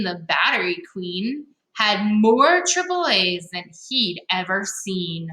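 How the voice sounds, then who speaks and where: A woman reading a storybook aloud to children, with expressive, dramatic emphasis and playful asides. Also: mid-range voice. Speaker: read-aloud host for children. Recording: echoey, roomy sound.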